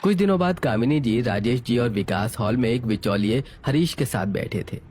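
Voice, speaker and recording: A man's voice: medium pitch, lively intonation, normal speed, a little mellow. A man narrating a scripted family story.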